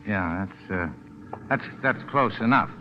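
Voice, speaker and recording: An actor playing a tough, cynical American charter boat captain in 1940s radio drama, curt and wry. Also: male voice, on the low side, a little slow, lightly acted. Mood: casual, guarded. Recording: muffled, noisy old radio broadcast.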